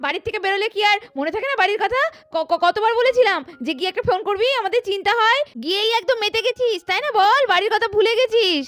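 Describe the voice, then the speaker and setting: A woman's voice: very high voice, quick pace, a bit bright, dry recording. A woman voicing a worried, scolding young village mother in a doll story, fretful and nagging.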